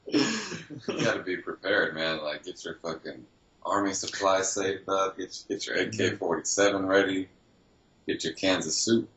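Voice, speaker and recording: A man's voice: sits low, fairly even intonation, moderate pace, fairly bright tone, echoey room, poor audio. A man in a casual chat, teasing his friend and swearing, ending many lines with "man". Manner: teasing, profane, says "man" constantly.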